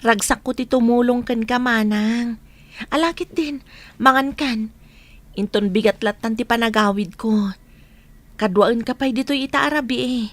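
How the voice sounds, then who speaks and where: A woman plays a kind younger friend in a radio drama. Her voice sits fairly high and she speaks in a gentle, coaxing, caring way. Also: moderate pace, dry recording, lightly acted. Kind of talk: Philippine radio drama acting.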